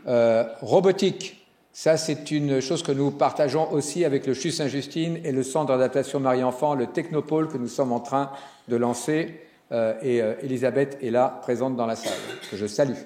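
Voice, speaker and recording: A man giving a conference keynote as a hospital executive, animated and joking, with some fillers and restarts. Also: medium pitch, moderate pace. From Italian descent. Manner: animated speaker with jokes and fillers. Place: conference hall. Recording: roomy hall sound.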